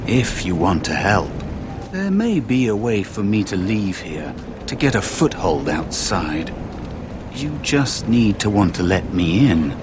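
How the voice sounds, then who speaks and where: An actor playing a trapped, desperate young apprentice mage in a video game, pleading and wheedling with agitation. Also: male voice, big pitch swings, measured pace. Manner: pleading, agitated, swinging intensity. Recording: noisy game audio with ambient rumble.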